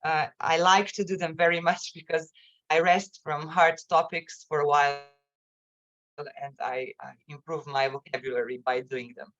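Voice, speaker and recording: Halting woman on a muffled call. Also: sits low, steady tone, fairly bright tone. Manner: halting, with repeated words. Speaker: journalist and webinar speaker. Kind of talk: webinar presentation and answers. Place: online webinar call. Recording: muffled, lo-fi online call, cutting out.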